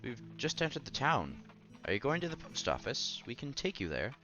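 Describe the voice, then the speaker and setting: A man voicing an effusive, scholarly gentleman from a visual novel, formal, polite and eager to flatter. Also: mid-range voice, moderate pace, highs cut off, faint music behind, voice pushed up. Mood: hospitable.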